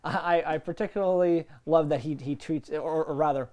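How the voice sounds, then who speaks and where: A woman co-hosting a casual recap chat, animated and sweary, often acting out quotes. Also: low-pitched, fairly quick. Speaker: podcast co-host.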